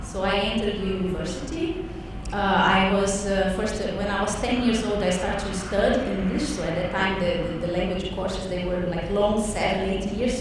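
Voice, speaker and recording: Brazilian woman in an echoey hall. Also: medium pitch. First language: Portuguese. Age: late 30s. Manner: chatty, many fillers and restarts, asks "right?". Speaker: panel speaker. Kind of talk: personal story talk. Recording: echoey hall, mic far off, background noise.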